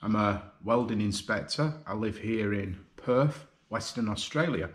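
A man in his fifties with a Lancashire accent, giving a personal video statement from his living room, earnest and halting with many fillers. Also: lowish voice. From North West England. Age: 50s. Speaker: video contributor. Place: living room, seated on a sofa.

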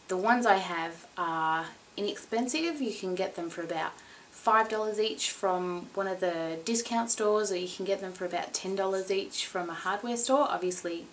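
A woman's voice: lowish voice, slight background noise, low-quality recording. Chatty woman vlogging at home. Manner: chatty and animated, with expressive emphasis. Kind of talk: casual how-to vlog. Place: small indoor room at home.

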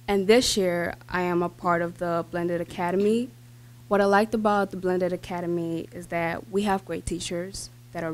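A woman's voice: lowish voice, a little hiss or hum. A teenage girl reading a prepared speech at a school board meeting, with fillers and restarts. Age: teens. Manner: reads from notes with fillers and restarts. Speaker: student speaker. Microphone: podium microphone.

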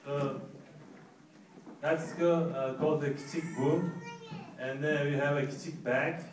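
A man with an Uzbek accent speaks haltingly in English as he demonstrates a percussion instrument live on a theater stage. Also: on the high side, steady tone. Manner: halting, searching for English words. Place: large theater stage, indoors. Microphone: stage microphone, recorded from the audience. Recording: echoey, noisy theater recording from far off.

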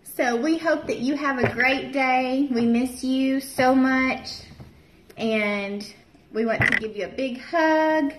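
A woman in her thirties, a teacher, reading a picture book aloud to young children and doing bright voices for the characters. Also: high-pitched, slow, background noise. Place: home room, seated at a table with a child. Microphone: camera at table distance. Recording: echoey room.

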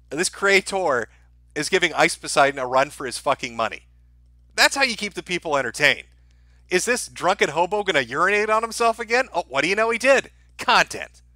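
A man's voice: high voice, pushed and bright, no echo. A man giving casual livestream commentary as host, with a sardonic, mocking and profane way of talking.

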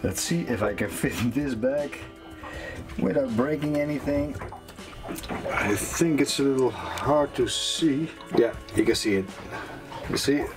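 A man in his fifties casually talking through a motor repair at a small workbench, thinking aloud as he works. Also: medium pitch. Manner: slow, relaxed, thinking aloud while working with his hands. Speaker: sailing vlogger repairing boat gear. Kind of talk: casual how-to narration. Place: small workshop bench aboard a boat.

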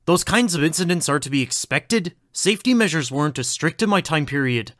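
Man playing a high-voiced, genteel old-fashioned doctor. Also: animated, brisk, a bit bright, no echo, studio quality. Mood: mildly reproving. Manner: formal, old-fashioned, enthusiastic. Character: genteel, cheerfully oblivious time-displaced doctor from the past. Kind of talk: audio drama acting.

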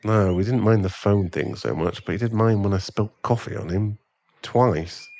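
A man's voice: on the low side, moderate pace, gravelly, a little mellow, lo-fi sound. A man playing a remorseful sugar-daddy boyfriend in an ASMR audio roleplay, hesitant and stammering as he earnestly pleads for forgiveness. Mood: sheepish, earnest.

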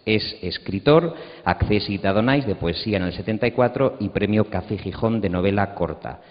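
A middle-aged man with a Castilian Spanish accent, moderating a panel and introducing its speakers into a handheld microphone on an auditorium stage. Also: on the low side. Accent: Castilian Spanish from Spain. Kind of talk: introducing panelists at a round table. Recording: muffled, reverberant auditorium recording.

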